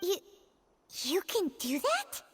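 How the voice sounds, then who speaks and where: An actress voicing a tiny, childlike fairy companion in a video game, chatty and exclamatory with a squeaky voice. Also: female voice, wildly swooping pitch, slow, slight background noise, heavily acted. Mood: hesitant.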